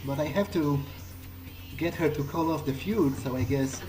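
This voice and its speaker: A man doing an in-character let's play, thinking aloud in a halting, hesitant way and repeating words. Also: fairly high pitch, darkish tone, soft background music, rough recording. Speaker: gamer playing in character.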